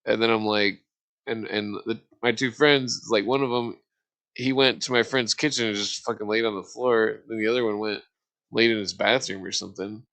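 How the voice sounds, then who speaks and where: A middle-aged man with a low, bright voice that breaks into fry. He tells casual, rambling stories haltingly, circling back on himself in profane, slangy language. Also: steady tone.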